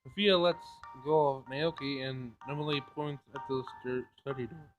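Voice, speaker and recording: A man's voice: mid-range voice, fairly even intonation, a little slow. A man reading a visual novel aloud as dramatic narration on a gaming channel, in a screen recording. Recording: dull lo-fi screen recording, game music behind. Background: faint game music.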